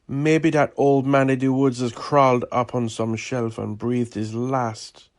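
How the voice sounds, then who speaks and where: A man voicing a calm gardener with a honeyed voice in a dark audio story. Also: medium pitch, a little slow, voice pushed up, lightly acted. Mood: grimly matter-of-fact. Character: male gardener, calm, speculating about grim things.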